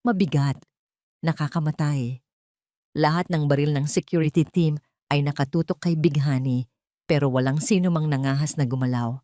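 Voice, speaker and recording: A female storyteller with a low voice delivers scripted drama narration. She builds suspense dramatically, and the recording is dry with no room sound. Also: darkish tone, dry recording.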